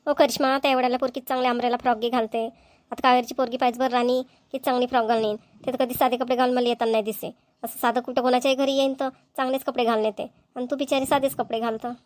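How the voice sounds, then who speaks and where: A woman's voice: fairly high pitch, little pitch movement, rapid-fire, dry recording, natural voice. A woman voicing a gossipy, impatient village housewife in a cartoon comedy, with a Vidarbha Marathi accent. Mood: gossipy, nosy.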